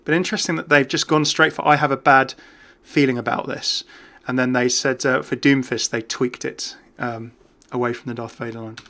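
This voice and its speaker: A man hosts a gaming video with casual, chatty commentary. His mid-pitched voice has a jokey, conversational delivery. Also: steady tone, normal speed, few pauses, a bit bright.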